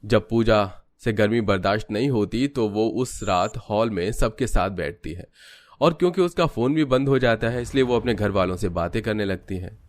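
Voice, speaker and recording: A man narrating a scripted animated family story. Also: lowish voice, moderate pace, soft background music.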